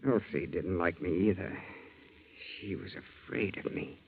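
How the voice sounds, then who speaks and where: An actor playing a menacing, unhinged young man in a 1940s radio thriller, halting and dreamy, on a tinny old recording. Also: low-pitched, husky, heavily acted. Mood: brooding menace. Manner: slow, halting, dreamy delivery.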